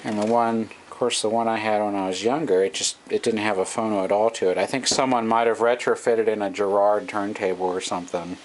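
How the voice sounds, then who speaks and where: A man's voice: lowish voice, fairly even intonation, fairly quick, hardly any pauses. A middle-aged man giving a casual, off-the-cuff hobbyist walkthrough, hesitant and thinking aloud, recorded on a camera's own microphone. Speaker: hobbyist vintage radio restorer. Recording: noisy amateur camcorder recording.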